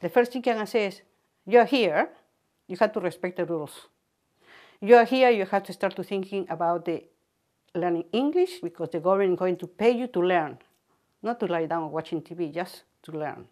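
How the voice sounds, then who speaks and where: An older woman from South America talks in a lowish voice. She lays down advice firmly and directly, with a plain, steady delivery. It is a documentary interview recorded indoors. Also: moderate pace. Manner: firm, advice-giving.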